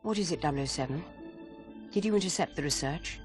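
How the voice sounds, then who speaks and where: A woman playing a stern, authoritative spymaster in a video game, speaking briskly and formally. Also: low-pitched, faint music behind, lightly acted. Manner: brisk, formal, matter-of-fact. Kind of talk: video game cutscene acting.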